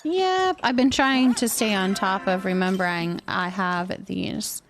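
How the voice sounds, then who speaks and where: A woman's voice: medium pitch, unhurried, a little hiss or hum. A woman hosting a game livestream, chatting casually with viewers in a giggly, talkative manner. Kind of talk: casual chat with viewers while playing a cooking game.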